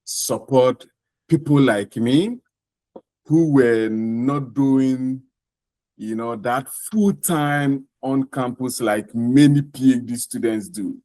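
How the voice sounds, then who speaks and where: A man with a Ghanaian accent answering interview questions off the cuff, in deliberate, halting and emphatic phrases. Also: mid-range voice, mellow, no room sound. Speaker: interview guest, scholarship winner.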